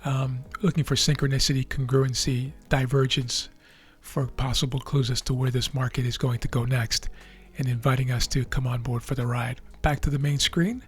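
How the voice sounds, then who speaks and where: A man with a raspy, tired voice, hosting a trading video and explaining live charts in a measured way with frequent fillers and restarts. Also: mid-range voice, fairly even intonation, soft background music. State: losing his voice.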